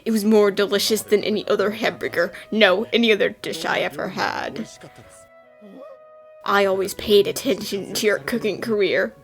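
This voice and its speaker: A woman's voice: medium pitch, fairly even intonation, moderate pace, soft background music, lightly acted. A woman voicing a courteous, sincere male company president in a cartoon fan dub, heartfelt in manner. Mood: grateful.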